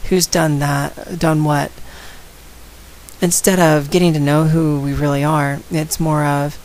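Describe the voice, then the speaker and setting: A woman with a slight head cold and a raspy voice, talking casually and outspokenly straight to her viewers in a vlog. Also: deep voice, level tone, a little slow, darkish tone, low-quality recording. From San Antonio, Texas. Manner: outspoken, direct, addresses viewers. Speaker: vlogger. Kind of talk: casual talk to camera.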